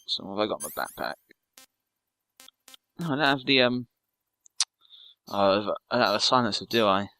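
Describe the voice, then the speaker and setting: Bright-voiced man doing game commentary. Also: lowish voice, expressive, normal speed, pauses often, soft background music, rough recording. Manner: sarcastic, self-deprecating. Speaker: let's play host. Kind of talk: casual gaming commentary.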